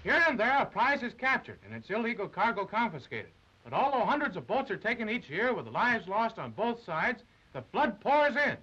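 A man's voice: sits high, sing-song, moderate pace, bright, forceful tone, dull audio, slight background noise. A man narrating a 1930s newsreel in a dramatic, emphatic, declamatory style, on an old film soundtrack.

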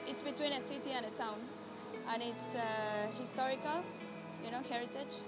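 Young Argentinian backpacker, very high voice, slow careful street-interview answers. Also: female voice, over loud music, rough recording. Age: young adult. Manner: simple careful wording, frequent uh. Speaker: traveller, interviewee. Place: outdoors at a train station.

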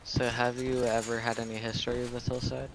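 A man's voice: mid-range voice, flat delivery, fairly quick. A man speaking casually in roleplay over online game voice chat. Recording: game voice chat, noisy background.

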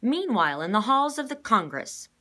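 A woman's voice: on the high side, big pitch swings, moderate pace, bright, forceful tone, pitched above the performer's own voice, exaggerated voice. A woman voicing an earnest female television news reporter in a storybook, with projected, serious newscaster delivery. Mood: urgent.

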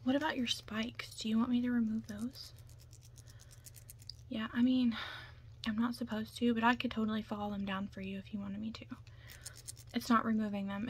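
Soft-spoken woman, half whispering. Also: on the high side, little pitch movement, brisk. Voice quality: soft, breathy, often dropping into whisper. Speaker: ASMR roleplayer playing a makeup artist. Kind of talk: ASMR soft-spoken roleplay. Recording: clear background noise.

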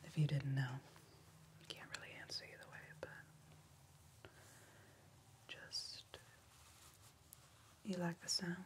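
A young woman playing an anxious, scolding backwoods accomplice in a hushed, whispered ASMR roleplay. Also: low voice. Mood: hushed, coaxing. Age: young adult. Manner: hushed, whispering, slow and deliberate. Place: indoor room, seated at a table. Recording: close-up mic with clear background noise.

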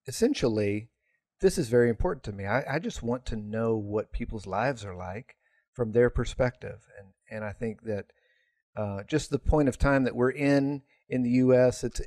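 A man co-hosting a podcast and leading the interview gently, with halting phrasing and restarts. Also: mid-range voice, no room sound, clean studio sound. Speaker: podcast co-host and therapist. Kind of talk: interview conversation.

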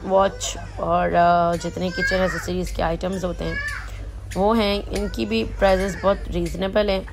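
A woman's voice: fairly low pitch, little pitch movement, unhurried, creaky, noisy background. Chatty woman touring a supermarket by phone. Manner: chatty, mixes English words and prices into her talk. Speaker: vlogger. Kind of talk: casual shopping vlog narration. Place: large supermarket, indoors.

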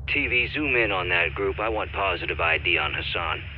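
An actor playing a confident American mercenary commander, giving terse military orders in a game. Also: male voice, sits low, lively intonation, a little fast, highs cut off, slight background noise. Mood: focused, commanding. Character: confident American male mercenary commander directing air support. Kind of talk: military game radio dialogue.

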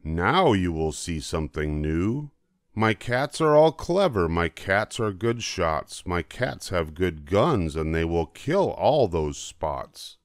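A man's voice: deep voice, very animated, slow pace, dry recording, clean studio sound, full character voice. An older man voicing a big, confident, boastful cat in a children's storybook, emphatic and bossy. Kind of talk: children's storybook character reading.

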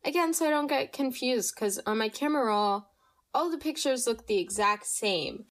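Young woman, podcast host, slightly nasal, chatty storytime tone, higher voice. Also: moderate pace. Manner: chatty, animated, reads listener stories aloud. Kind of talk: casual storytime chat.

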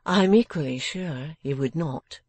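A woman voicing a gentle, patient young woman in a storybook, soothing and affectionate. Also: sits low, normal speed, no echo. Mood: reassuring. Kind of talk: dramatised storybook reading.

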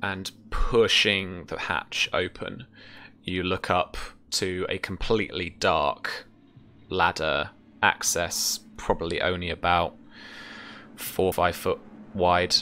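A man in his thirties narrating a tabletop game as game master, with a hesitant, halting delivery. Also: low voice, fairly even intonation, sharp tone, a little hiss or hum. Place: home room, indoors.

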